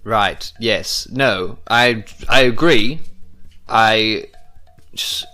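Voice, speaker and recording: Male tabletop player, deep and unhurried, hesitant phrasing in casual game talk. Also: pushed and bright, faint music behind. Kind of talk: casual improvised game chat.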